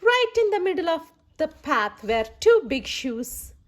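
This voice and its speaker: High, lively woman reading children's stories. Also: a little slow. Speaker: children's story reader and host. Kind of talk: animated read-aloud storytelling for children.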